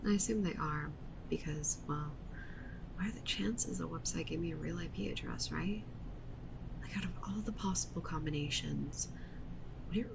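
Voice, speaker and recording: A woman playing a yandere witch VTuber in an ASMR livestream roleplay, talking softly and haltingly to her chat, sweetly menacing and self-justifying. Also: vocal fry. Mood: earnest, defensive. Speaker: streamer. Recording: noisy with low rumble.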